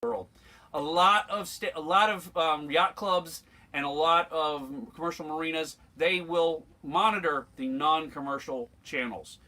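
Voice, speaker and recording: A man's voice: a little high, a little slow, pushed and bright. An American man giving an informal, plain-spoken instructional demonstration as a sailing instructor, emphatic and repeating his points.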